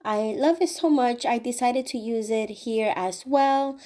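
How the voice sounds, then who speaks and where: Chatty craft lady talking thru her diy steps, a bit stuffy from a cold, highish voice. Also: a little slow, mellow. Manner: cheerful, chatty step-by-step explaining. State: recovering from a cold. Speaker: craft channel host. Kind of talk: voice-over craft tutorial.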